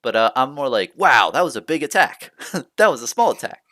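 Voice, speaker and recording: Bright, dry-voiced man chatting casually. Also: mid-range voice, very animated, fairly quick, no room sound. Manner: rambling, many fillers and restarts; upbeat, encouraging. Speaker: host. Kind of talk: casual chat.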